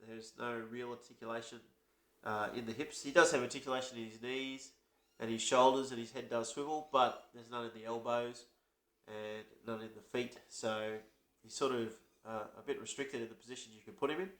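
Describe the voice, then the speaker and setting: A man, who has a cold, chats casually through a toy review, relaxed and hesitant with many fillers. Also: medium pitch, a little fast, echoey room.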